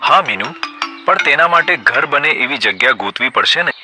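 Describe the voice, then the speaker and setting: A man plays a kind, naive male goat in a children's cartoon, talking in a friendly, trusting way. His pitch sits mid-range and moves a lot, over background music. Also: expressive, moderate pace, sharp tone. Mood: affectionate. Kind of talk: cartoon voice acting.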